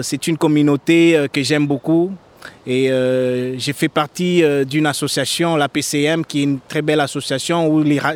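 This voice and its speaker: A middle-aged man of Congolese origin, a singer-songwriter, speaking earnestly to a live audience between songs. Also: a little high. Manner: unhurried, earnest spoken asides to the crowd. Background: guitar playing.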